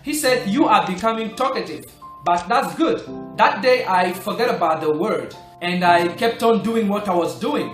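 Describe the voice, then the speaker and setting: High-voiced French-native man coaching learners. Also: animated, normal speed. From Republic of the Congo. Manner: earnest, coaching, stresses key points. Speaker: language-learning vlogger. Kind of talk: motivational talk to camera. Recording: roomy echo, soft music behind.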